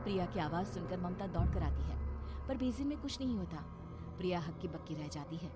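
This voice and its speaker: Woman reading a dramatic story, very expressive up and down pitch, music playing behind her. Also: brisk. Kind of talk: scripted dramatic story narration. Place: voice-over recording with background music.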